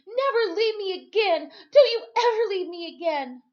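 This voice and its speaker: A woman's voice: very high voice, expressive, moderate pace, muffled recording, voice pushed up. A woman voicing a frightened, demanding young castaway boy in a storybook reading, with plaintive, insistent delivery. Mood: angry, scared. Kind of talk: storybook read-aloud.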